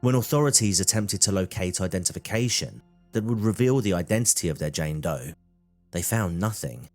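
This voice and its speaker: Man, low-pitched voice, scripted true crime narration, music underneath. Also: lively intonation, brisk, darkish tone.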